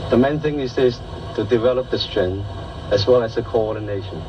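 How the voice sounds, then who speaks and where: A man's voice: mid-range voice, brisk, lo-fi sound, noisy background. Man calling out kung fu moves. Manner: short, instructive commands. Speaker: kung fu instructor. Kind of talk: demonstrating and explaining movements.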